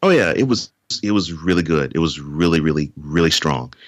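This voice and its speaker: A man with a very deep voice speaks earnestly as a guest on a podcast. He grew up in Houston, Texas, and often repeats a word before his sentence gets going. The sound is dry, with little room echo. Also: animated, brisk. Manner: earnest, repeats words when starting sentences. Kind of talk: interview conversation.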